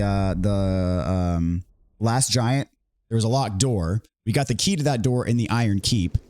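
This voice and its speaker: A man with a hoarse voice he is still getting back, casually explaining a game walkthrough in a relaxed way, with frequent ums and restarts. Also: mid-range voice, slight background noise. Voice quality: recovering from voice loss. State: hoarse, recovering voice. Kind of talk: casual gameplay commentary.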